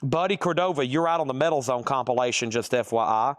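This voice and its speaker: A man hosting a live record sale stream, briskly listing items and current bids with chatty asides to viewers. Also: mid-range voice.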